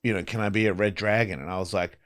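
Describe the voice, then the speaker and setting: A man's voice: on the low side, fairly even intonation, fast talker, no echo, studio quality. A man hosting a talk show, chatting candidly in a blunt, profane way and acting out the exchanges he recalls. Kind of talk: candid casual chat, anecdotes.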